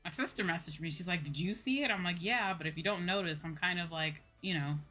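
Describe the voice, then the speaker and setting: Bright-voiced woman chatting casually on stream. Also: fairly low pitch, quick pace, soft background music. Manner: informal ranting, slangy and profane. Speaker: VTuber livestream host. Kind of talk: casual livestream chat.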